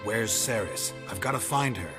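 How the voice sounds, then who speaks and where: An actor playing a gruff, grizzled monster hunter in a video game, speaking in short, flat lines. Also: male voice, moderate pace, music in the background, close to an everyday voice. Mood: dry. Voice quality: low, flat, terse delivery.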